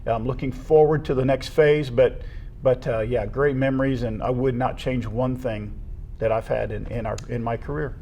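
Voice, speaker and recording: Casting: middle-aged American man, average pitch, sincere and appreciative, relaxed interview talk from a school athletics staffer. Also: normal speed, slight background noise. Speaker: retiring assistant athletic director, interview guest. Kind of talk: casual conversational interview.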